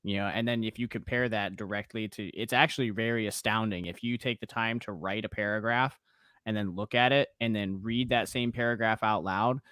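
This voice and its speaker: Low-voiced American man hosting a chat. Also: steady tone, a little fast, pushed and bright, clean tone, no room sound. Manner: advice-giving, conversational, many "you know"s. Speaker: podcast host. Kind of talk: casual interview chat.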